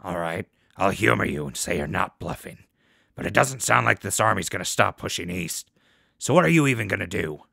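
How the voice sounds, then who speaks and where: A man playing a sardonic, unflappable radio host in a post-apocalyptic audio drama, with a low, gravelly voice and folksy patter. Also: expressive, brisk, bright, forceful tone, no room sound, studio quality, heavily acted. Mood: skeptical. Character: wry male wasteland radio show host, unflappable and sardonic.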